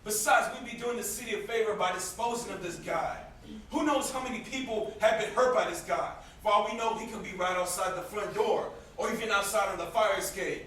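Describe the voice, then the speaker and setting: A man's voice: high voice, brisk, fairly bright tone. A young man acting a vengeful, grieving, hot-headed brother in a stage play, insistent and confrontational. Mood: grimly persuasive. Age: young adult. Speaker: student stage actor. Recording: distant, very echoey, noisy stage recording.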